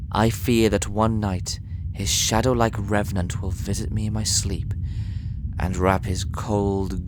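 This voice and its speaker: An actor playing a guilt-haunted villager, narrating gravely in the first person in an audio drama. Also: male voice, sits low, background noise. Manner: slow, grave, brooding. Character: brooding, guilt-haunted village man narrating his dark confession.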